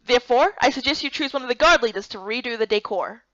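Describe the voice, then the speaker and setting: A woman voicing a stern, irritated woman leader in a visual novel game, speaking with sharp, emphatic authority. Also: on the high side, expressive, fast talker, dry recording, highs cut off. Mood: commanding, impatient. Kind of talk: visual novel character voice-over.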